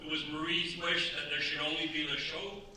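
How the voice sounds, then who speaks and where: A man's voice: fairly high pitch, flat delivery, brisk, over loud music, lightly acted. A man narrating a staged radio crime drama in a grave, scripted style. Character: grave male narrator of true crime tragedy. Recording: echoey hall, distant mic, faint noise.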